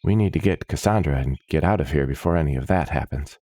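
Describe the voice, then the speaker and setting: Serious male adventurer read by a man, low-pitched, terse, concerned, close dry recording. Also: fast talker, dark tone, no echo. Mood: worried. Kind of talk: fantasy audiobook character.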